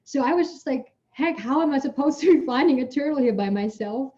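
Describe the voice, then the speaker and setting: Lady scientist, chatty, lots of fillers, warm low-key tone, muffled call sound. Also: a little fast. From Germany. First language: German. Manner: lively storyteller, chatty with many fillers, laughs while talking. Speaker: guest scientist. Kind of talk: casual Q&A answers.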